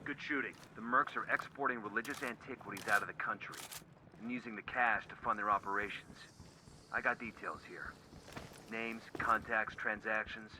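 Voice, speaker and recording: An actor playing a stern, focused special forces soldier giving terse reports in a video game. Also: male voice, lowish voice, steady tone, moderate pace, sharp tone, slight background noise, rough recording. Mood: determined. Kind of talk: video game squad dialogue.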